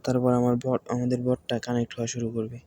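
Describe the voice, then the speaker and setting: Man, Bangladeshi accent, very monotone, mellow tone, informal tutorial narration, faint background noise. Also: mid-range voice, quick pace. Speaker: tutorial presenter.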